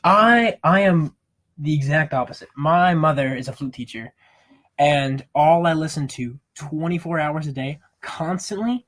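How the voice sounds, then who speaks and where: A teenage boy hosting a casual podcast, animated and playfully teasing, talking in quick bursts. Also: on the high side. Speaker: podcast host. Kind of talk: casual chat. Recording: amateur home podcast mic.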